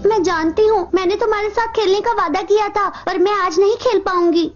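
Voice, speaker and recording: A woman voicing a sweet young schoolgirl, gentle and earnest, in a dubbed cartoon. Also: very high voice, steady tone, brisk. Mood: worried. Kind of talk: Hindi-dubbed cartoon acting. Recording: muffled TV rip, loud music behind.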